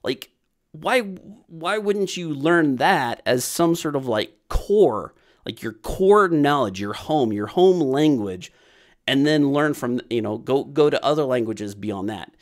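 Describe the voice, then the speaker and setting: A man's voice: medium pitch, lively intonation, slow pace. Rambling man hosting a livestream. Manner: rambling, repeats himself with many fillers. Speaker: programming livestream host. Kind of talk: casual livestream Q&A.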